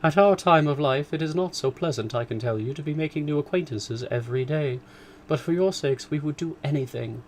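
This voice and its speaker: A man voicing an excitable, complaining middle-aged mother, raised well above his own voice with emphatic swoops. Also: a little high, fairly quick, a little hiss or hum, voice pushed up, natural voice. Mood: gushing.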